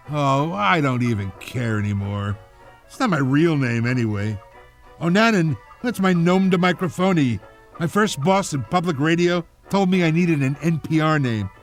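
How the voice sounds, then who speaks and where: A man playing a jaded, snarky public radio announcer in a deadpan, mock-pompous comic monologue. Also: fairly high pitch.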